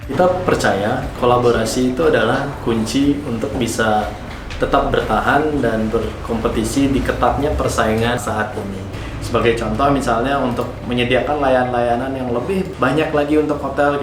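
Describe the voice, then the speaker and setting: A man from Bali, giving earnest, measured explanations as a founder being interviewed. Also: medium pitch, background noise. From Bali, Indonesia. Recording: roomy echo with music behind.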